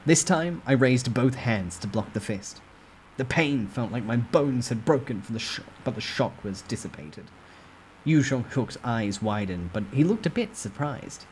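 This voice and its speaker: A man narrating a first-person novel in a dramatic, expressive storytime ASMR style. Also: mid-range voice, a little slow, a little hiss or hum, low-quality recording.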